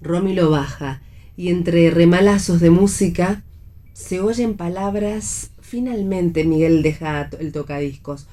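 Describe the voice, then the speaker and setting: A woman narrates a radio play, reading out stage directions. Her voice is very low and mellow. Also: unhurried. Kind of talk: scripted narration for read radio theatre.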